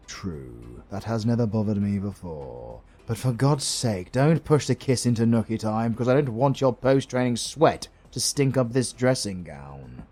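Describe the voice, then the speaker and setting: Gravelly British retired supervillain husband, played by a man. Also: fairly low pitch, darkish tone, full character voice. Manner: theatrical, teasing, cooing endearments. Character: retired male supervillain husband, smug, doting, playfully flirty. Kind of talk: romantic comedy roleplay audio.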